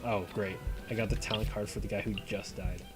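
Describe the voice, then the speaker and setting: A man's voice: lowish voice, fairly even intonation, a little fast. A man streaming a card game, musing aloud over his moves and answering viewers in chat. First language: not Korean. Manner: talks to himself while playing, reads and answers chat. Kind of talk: casual live-stream commentary while gaming. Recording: music playing behind. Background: background music.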